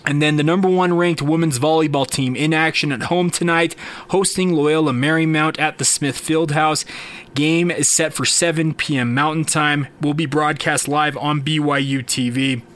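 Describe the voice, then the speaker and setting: A man's voice: a little high, steady tone, normal speed, a bit bright. A man hosting a sports podcast solo, talking informally and directly to listeners in conversational commentary.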